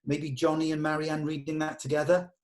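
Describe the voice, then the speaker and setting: A man leading a script table read, explaining notes to actors and often pausing mid-thought. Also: a little high, monotone.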